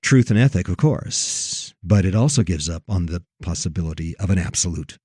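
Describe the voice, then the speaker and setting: Male talk host, mid-pitched with big melodic swings, preachy and emphatic, recorded dry and close. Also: animated, moderate pace, a little mellow, slightly rough, no echo. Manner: emphatic, preachy, repeats phrases for effect. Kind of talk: religious commentary on film and culture.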